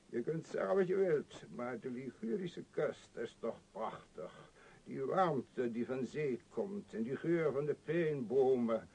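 Musing vagabond, radio play, gravelly, slow, lyrical, courteous, philosophical. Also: male voice, mid-range voice, lively intonation, darkish tone, heavily acted, low-quality recording. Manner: ruminative, lyrical, unhurried. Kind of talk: Dutch radio play acting.

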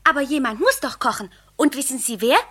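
A woman's voice: high voice, brisk, bright, forceful tone, slightly rough, heavily acted. A young woman in her twenties voicing an earnest little rich girl in a 1960s radio play, with a pleading sing-song child voice. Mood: scolding. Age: 20s.

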